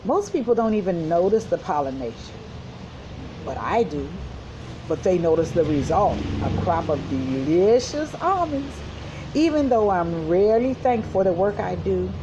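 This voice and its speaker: A woman voicing a small, proud female bumblebee in a children's storybook, shrill, instructive and encouraging. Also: animated, unhurried, highs cut off, noisy background. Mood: earnest, encouraging. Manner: instructive, reassuring. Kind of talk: children's storybook read-aloud.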